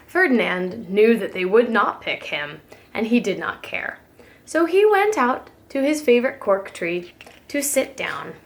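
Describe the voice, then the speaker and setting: A woman reading a children's storybook aloud as a preschool teacher, with gentle, expressive emphasis. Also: mid-range voice, a little slow, slight background noise.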